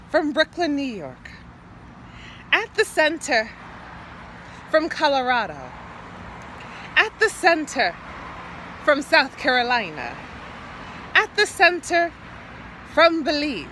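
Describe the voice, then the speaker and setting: A woman poet performing an improvised spoken-word monologue outdoors, theatrically, in short halting phrases with repeated words. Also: sits high, bright, forceful tone, a little hiss or hum, low-quality recording. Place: outdoors, standing or walking.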